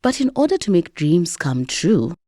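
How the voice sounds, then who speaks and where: A woman's voice: on the low side, sing-song, normal speed, dark tone, no room sound, clean studio sound. A woman hosting a narrated documentary podcast with dramatic, rhetorical storytelling delivery. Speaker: podcast host. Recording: music behind the voice.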